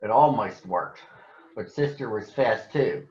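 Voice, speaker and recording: An American man addressing children and reading a picture book aloud in a deliberate, announcer-like way. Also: lowish voice, animated, a little mellow. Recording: echoey room, slightly dull sound.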